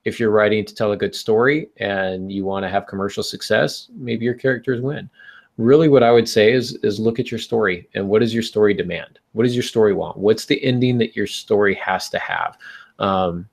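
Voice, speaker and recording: A man's voice: lowish voice, fairly quick, gravelly. A man co-hosting a podcast, giving advice in an informal, emphatic way and often interrupting himself. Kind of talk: conversational advice talk.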